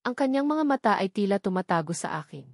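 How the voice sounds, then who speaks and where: A woman's voice: medium pitch, lively intonation, quick pace, no room sound, clean studio sound. A woman with a Filipino accent narrates a scripted first-person romance story in an emotive way, setting short phrases apart for drama.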